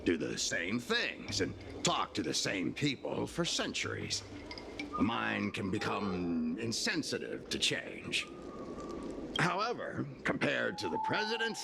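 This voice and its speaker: A man's voice: mid-range voice, creaky, noisy background, exaggerated voice. An actor playing a stern, stubborn old craftsman and father in scripted game dialogue, grumbling and hesitant. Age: older. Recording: game music behind the voice.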